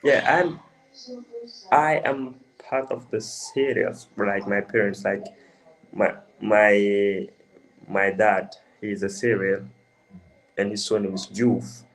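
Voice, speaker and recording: A young man from the Gambia, answering interview questions in a hesitant, halting way with many restarts. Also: on the low side, steady tone, low-quality recording. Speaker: interview guest.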